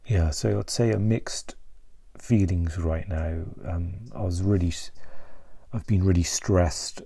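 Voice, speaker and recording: Very deep, subdued man, hesitant. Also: steady tone, vocal fry, dark tone, slightly rough, dry recording. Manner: hesitant, halting, searching for words. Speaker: mental health vlogger. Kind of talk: confessional vlog monologue.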